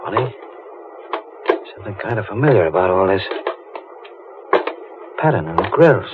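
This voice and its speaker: An actor playing a troubled, guilt-haunted deep-sea diver in old-time radio drama, halting and brooding. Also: male voice, expressive, natural voice. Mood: brooding, wistful. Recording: muffled, noisy old radio recording.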